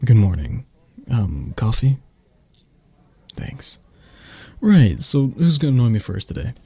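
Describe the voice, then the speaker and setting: A man acts out an arrogant male boss for an ASMR audio drama. His voice is breathy and gravelly, swinging widely in pitch while keeping an unhurried pace. He comes across as curt and sardonic, with anxiety underneath. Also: sing-song, warm tone, dry recording, heavily acted. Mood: irritated, sardonic. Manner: curt, sardonic, stammering when flustered. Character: arrogant, grumpy male CEO, secretly anxious and vulnerable. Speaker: voice actor.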